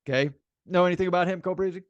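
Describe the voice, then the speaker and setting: Male sports podcast co-host, raised pitch, dry recording, emphatic and repetitive delivery, conversational. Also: fairly even intonation, very fast, smooth, dry recording, dull audio. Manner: emphatic, repeats phrases for effect. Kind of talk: casual sports chat.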